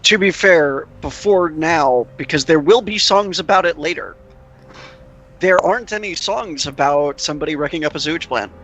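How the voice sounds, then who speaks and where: A man's voice: a little high, normal speed, a bit bright. A man playing a tabletop role-playing game, speaking casually and hesitantly. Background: background music.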